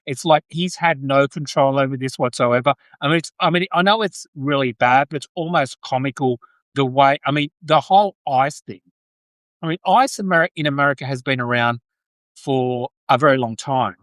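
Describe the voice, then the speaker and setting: An Australian man hosting a casual live political commentary stream, speaking in a halting way and often restating his phrases. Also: medium pitch, fairly bright tone, dry recording. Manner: halting, repeats and restates phrases, breaks off to greet viewers. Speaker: podcast host, political commentator. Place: Brisbane, Australia.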